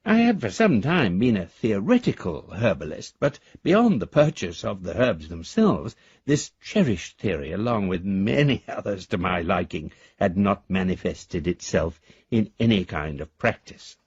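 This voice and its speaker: A man's voice: on the low side, very animated, measured pace, a little mellow, husky, no echo, lo-fi sound, exaggerated voice. An actor playing an eloquent, whimsical elderly father in a radio drama, wordy and wryly formal as he reads his letters aloud. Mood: bemused wonder. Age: older.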